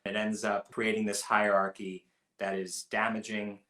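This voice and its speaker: A man co-hosting a film podcast, talking things through in a thoughtful, measured way. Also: lowish voice, monotone, bright, forceful tone. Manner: thoughtful, measured, with frequent restarts. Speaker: podcast co-host. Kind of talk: casual film review chat. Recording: roomy echo.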